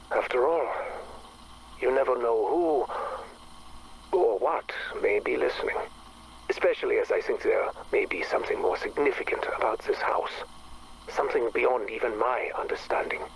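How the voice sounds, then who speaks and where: Casting: obsessive, bitter scientist for game audio logs; formal, theatrical diction, breathy and brooding, slightly noisy recording. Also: male voice, mid-range voice. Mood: brooding, measured. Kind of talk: dramatic monologue for a game audio log.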